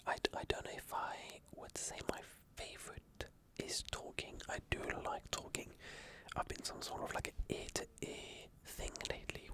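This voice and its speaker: A man whose first language is French, talking softly and whispering into a close mic as an ASMR creator. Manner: soft-spoken, drifting into whispers. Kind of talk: soft-spoken ASMR talk.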